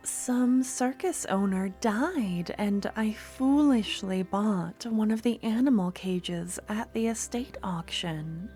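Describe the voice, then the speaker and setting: A woman performs a sweet, possessive young woman merchant in an ASMR audio roleplay. Her voice is mellow and unhurried, soft and close. She often sinks into a hushed whisper. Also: medium pitch, faint music behind, close to an everyday voice. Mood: soft, admiring. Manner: soft, intimate, slips into whispers.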